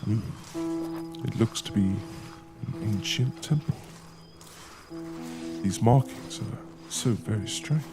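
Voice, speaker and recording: An actor playing a determined, adventurous scholar in a period horror audio drama, speaking in a formal, old-fashioned, earnest way. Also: male voice, lowish voice, takes it slowly, long pauses, over loud music. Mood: awed, curious. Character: determined, adventurous male scholar exploring ancient ruins.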